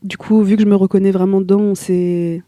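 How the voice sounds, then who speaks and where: Young woman with a dark, flat voice. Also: mid-range voice, fairly quick, no room sound. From Italy. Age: young adult. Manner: relaxed, slangy, searching for words. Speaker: singer, interview guest. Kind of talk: casual interview chat.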